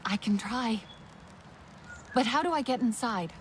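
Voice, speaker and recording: A woman's voice: a little high, lively intonation, measured pace, a little hiss or hum. An actress playing a determined young female outsider who earnestly pleads for help.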